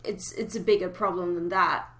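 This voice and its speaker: A woman hosting a casual fan discussion, talking emotively with hesitant pauses as she thinks aloud. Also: lowish voice, fairly even intonation, fairly bright tone. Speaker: podcast host.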